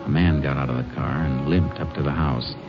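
A man's voice: a bass voice, steady tone, brisk. A man acting a terse, commanding Texas Ranger investigator in clipped, authoritative 1950s radio drama style. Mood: measured narration. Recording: muffled vintage radio recording, music behind.

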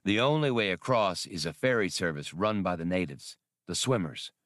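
A man's voice: sits low, expressive, moderate pace, fairly bright tone, no echo, clean studio sound. A man voicing a genial, practical old adventurer in an audiobook, easygoing and conciliatory.

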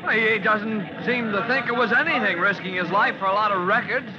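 A man's voice: high-pitched, brisk, music in the background, natural voice. An actor playing an eager young rookie fireman, earnest and deferential, in vintage radio drama style. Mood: puzzled, amazed. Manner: wide-eyed, earnest, deferential. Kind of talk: 1940s radio acting. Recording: muffled old radio recording, loud noise.

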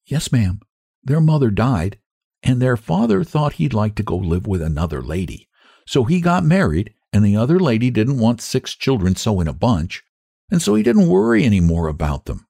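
A man puts on an earnest little storybook girl. The voice is lifted high and a bit husky, polite and guileless, sweetly matter-of-fact as it swings about. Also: sing-song, normal speed, mellow, no room sound, clean studio sound, heavily acted. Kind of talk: storybook character voice.

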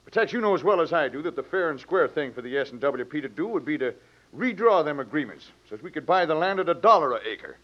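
An actor playing a plain-spoken, aggrieved rancher with a folksy American Western accent in an old radio drama. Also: male voice, fairly high pitch, lively intonation, fast. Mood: insistent. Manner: folksy, earnest. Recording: muffled old radio recording.

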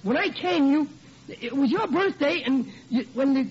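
An actor playing a bumbling, blustering American husband who gets flustered and stammers, in 1940s radio sitcom style. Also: male voice, very high-pitched, expressive, normal speed. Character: bumbling, blustering, sheepish working-class husband. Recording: dull, hissy vintage radio recording.

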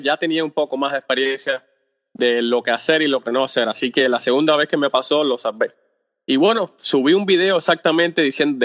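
A Cuban man answers interview questions in a relaxed, unhurried way, stumbling through fillers and restarting half-finished thoughts. His voice sits in the middle range and carries a long reverb tail. The whole recording sounds dull and lo-fi. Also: mid-range voice. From Cuba. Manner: hesitant, searches for words with many fillers and restarts. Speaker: podcast guest, off-road video creator. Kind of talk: casual interview talk.

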